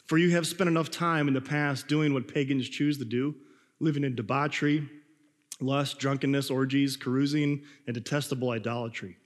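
A man preaches in a relaxed, rambling way, his voice hoarse and a little raspy from illness. His pitch sits at an ordinary middle level. The sound carries a long reverb, as in a church hall. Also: moderate pace. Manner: conversational, rambling with restarts. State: hoarse, coughing. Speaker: pastor. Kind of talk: sermon.

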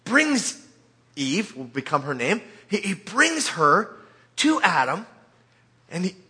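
A man preaching in an informal, conversational way, telling scripture like an animated storyteller with joking asides. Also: high-pitched, takes it slowly. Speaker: preacher or Bible teacher.

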